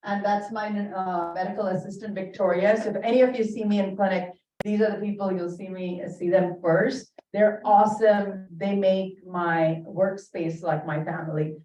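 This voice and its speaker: A woman's voice: medium pitch, steady tone, moderate pace, few pauses, mellow. A middle-aged woman with an Indian accent giving a friendly, joking talk as a dermatologist. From Bahrain. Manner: chatty, jokey, self-deprecating. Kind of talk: friendly presentation with humor. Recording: distant mic, roomy, dull, faint noise.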